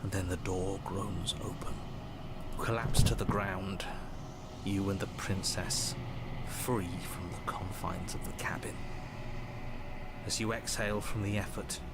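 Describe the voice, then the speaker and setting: A man's voice: low voice, steady tone, a little slow, heavy background noise. A man playing a theatrical, sardonic narrator in a story-driven video game, narrating in the second person. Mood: ominous.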